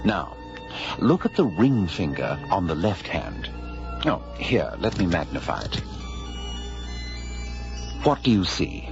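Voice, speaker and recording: A shrewd, grandiose detective reasons aloud in a very deep, animated voice. It is a slightly dull radio drama recording with music behind. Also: male voice, exaggerated voice. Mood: intrigued, probing. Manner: deliberate, deductive, grandiose. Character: shrewd, theatrical male detective lecturing his colleague.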